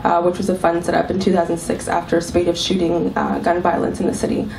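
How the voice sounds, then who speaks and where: Panelist, woman with a cold, slightly low voice, thoughtful with many ums, distant mic in an echoey room. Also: level tone, quick pace, vocal fry. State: has a cold. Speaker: panelist, arts executive director. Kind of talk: panel talk to a live audience. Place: club event room. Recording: echoey room, mic from far off, faint hiss.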